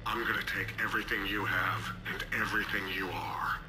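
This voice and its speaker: A man's voice: low voice, level tone, fairly quick, very noisy, close to an everyday voice. An actor playing an arrogant game villain, threatening and smug as he taunts his enemy. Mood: menacing, smug. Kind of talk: video game cutscene dialogue. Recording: loud music over voice.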